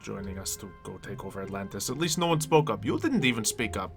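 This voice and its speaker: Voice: low-pitched, lively intonation, quick pace, gravelly. A performer in a Minecraft roleplay playing an eccentric, mischievous schemer with a theatrical, slightly formal and playful way of talking. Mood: matter-of-fact. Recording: music behind the voice.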